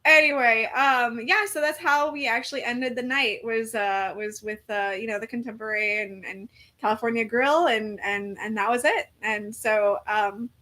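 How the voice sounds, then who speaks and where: A woman's voice: fairly high pitch, fairly even intonation, normal speed, few pauses, sharp tone. A woman in her forties, a podcast co-host, telling a story in casual chat with lots of fillers and restarts. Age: 40s. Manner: rambling storytelling with many fillers.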